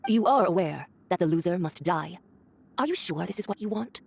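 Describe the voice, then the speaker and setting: A woman's voice: fairly low pitch, lively intonation, brisk, creaky, darkish tone, husky, exaggerated voice. A woman voicing a haughty, mocking villainous diplomat in a video game, condescending and theatrical. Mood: smug menace.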